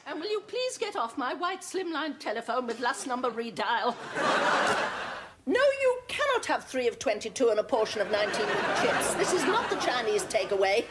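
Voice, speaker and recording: A pompous suburban housewife insists on her own importance down the phone. Her voice sits high and swoops all over the place with indignation. Loud studio audience laughter rolls under this old TV recording. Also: sing-song, moderate pace, over a noisy crowd, very noisy. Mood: haughty indignant. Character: snobbish middle-aged English housewife on the phone.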